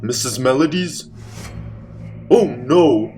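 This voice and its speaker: A man's voice: mid-range voice, lively intonation, slow pace, darkish tone, soft background music, voice pushed down. A man playing a fussy, self-important villainous master of a mansion in a theatrical, exclamatory old-time radio mystery comedy. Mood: dramatic alarm.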